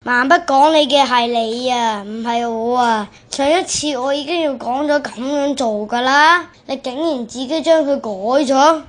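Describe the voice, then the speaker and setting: A woman's voice: high-pitched, unhurried, hardly any pauses. A woman with a Cantonese accent voicing a bossy squad captain and a quarrelsome soldier in a church skit. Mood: indignant. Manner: bossy, scolding.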